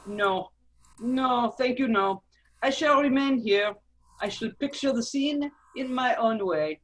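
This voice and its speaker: A stern French villain played by a woman in a radio drama. The voice is high and slow, with formal, short phrases and a cold temper. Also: expressive, rough recording. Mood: cold, composed. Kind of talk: theatrical radio drama table read.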